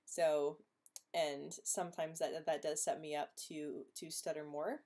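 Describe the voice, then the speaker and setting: A woman's voice: sits low, little pitch movement, unhurried, warm tone. A woman who stutters, hosting a live stream and casually answering viewers' questions with frequent repeated sounds and blocks. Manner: stutters with repetitions and blocks, laughs often. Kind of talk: casual live chat reading comments.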